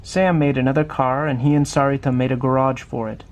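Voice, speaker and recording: A man's voice: medium pitch, flat delivery, brisk, dull audio. A man reading a children's picture book aloud expressively, putting questions to the listener as he goes. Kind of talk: storybook read-aloud for children.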